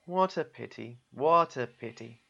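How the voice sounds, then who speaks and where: A man voicing an innocent, wistful storybook bunyip who speaks slowly and earnestly. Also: medium pitch, animated, vocal fry, sharp tone, highs cut off, full character voice. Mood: sad, murmuring. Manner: slow, earnest questioning.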